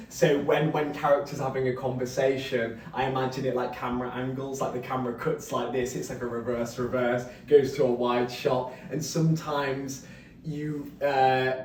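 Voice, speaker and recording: A man giving an informal author talk, explaining his writing process in a rambling, animated way. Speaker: novelist discussing his book at a reading. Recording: roomy, distant mic, faint rumbling noise.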